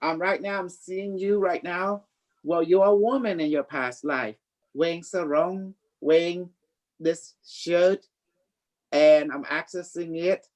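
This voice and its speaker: Psychic livestream host, boastful and playful, mid-pitched, loose improvised chat in non-standard grammar. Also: slow pace, a little mellow.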